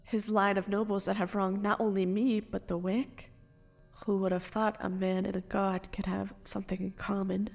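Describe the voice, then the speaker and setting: Woman acting bereaved noble turned reluctant avenger, mid-range pitch, creaky edge, earnest formal drama delivery, soft music behind. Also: little pitch movement, normal speed, lightly acted. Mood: wary, questioning. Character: wronged, grieving noble parent, reluctant future assassin. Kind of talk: dark fantasy audio drama acting.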